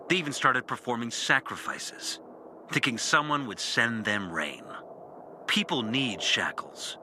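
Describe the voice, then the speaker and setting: An actor playing a bitter, disillusioned former priest in a video game, speaking a brooding, deliberate confessional monologue with dramatic pauses. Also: male voice, mid-range voice, background noise. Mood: bitter, resolute, then scornful, fervent. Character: disillusioned former priest, bitter and intense, recounting his lost faith. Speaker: character narrating an audio journal.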